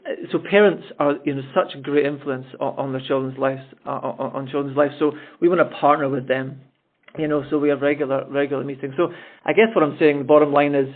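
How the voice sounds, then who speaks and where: A middle-aged Scottish man speaking in a fairly high voice over a thin, phone-like line. He talks in a friendly, rambling way, restarting his sentences as he answers a panel question. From Scotland. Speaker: private school principal. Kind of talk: panel answer on a call-in town hall. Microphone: telephone.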